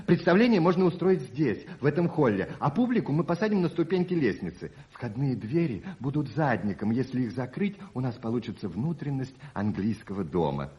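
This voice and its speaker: An actor playing an exuberant uncle who merrily organises a family pantomime in a radio drama. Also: male voice, mid-range voice, lightly acted. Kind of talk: theatrical radio drama acting. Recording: dull 1980s radio play recording.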